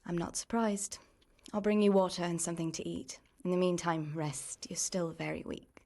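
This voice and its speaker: A woman acting a caring, world-weary young miller's niece in a medieval video game drama, plain-spoken and gently chiding. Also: fairly low pitch, fairly even intonation, normal speed, close to an everyday voice. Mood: calm, caring.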